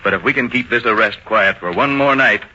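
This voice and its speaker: A gruff, indignant big-city police chief in a vintage radio crime drama. His delivery is emphatic and hard-edged, on a dull recording with faint noise. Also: medium pitch, brisk, bright, forceful tone. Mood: grim. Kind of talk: old-time radio crime drama acting.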